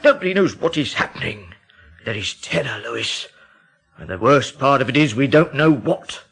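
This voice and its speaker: An alarmed Midlands Englishman in a radio drama. His medium-pitched voice is urgent and dramatic, full of dread, as he tells his tale like someone badly shaken. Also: animated, normal speed, gravelly, a bit bright, husky, heavily acted. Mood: hushed dread. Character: alarmed, agitated English Midlands man spreading rumours of terror.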